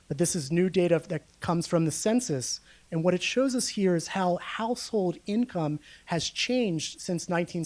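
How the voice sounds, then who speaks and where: A man's voice: on the high side, a little mellow. A man gives a public lecture as a guest researcher, explaining data to a room in a conversational, informal way and checking in with his listeners. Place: speaking live to a room of listeners.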